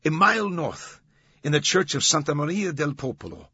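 A man's voice: on the high side, moderate pace, pushed and bright, breathy edge, no echo, lo-fi sound, pitched above the performer's own voice. A man voicing a scornful, theatrical church docent in an audiobook. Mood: eager. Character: horrified, scoffing Italian male church docent.

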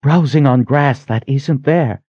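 A man's voice: medium pitch, unhurried, dark tone, breathy edge, dry recording, muffled recording, pitched above the performer's own voice. A man voicing a stern, frightened and devout religious sister in an earnest, scolding manner, as in an audiobook. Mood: scolding, insistent.

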